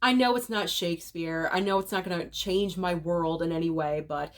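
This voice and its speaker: A woman's voice: on the low side, normal speed. A woman with a cold and a nasal voice, chatting through a casual rundown of upcoming movies for her channel viewers. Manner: chatty, opinionated, direct to viewers. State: sick, nasal voice.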